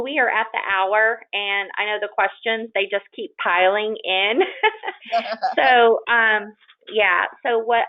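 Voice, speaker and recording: A woman hosting a webinar informally, often rephrasing herself in a conversational, hesitant way. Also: mid-range voice. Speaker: webinar host and moderator. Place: online or phone conference call. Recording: tinny, phone-like call audio.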